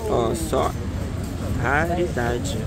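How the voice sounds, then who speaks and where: A man's voice: mid-range voice, very slow. Chatty man at a noisy market. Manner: excited, chatty, often says "né" and "ó". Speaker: vlogger. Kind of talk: casual collector vlog. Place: busy outdoor flea market. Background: loud crowd.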